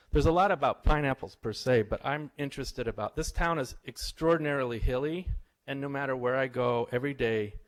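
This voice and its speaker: A middle-aged man, a visiting professor, tells stories and quizzes a live audience in an informal lecture. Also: medium pitch. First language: English. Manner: chatty, anecdotal, asks the audience questions. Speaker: visiting professor giving a talk.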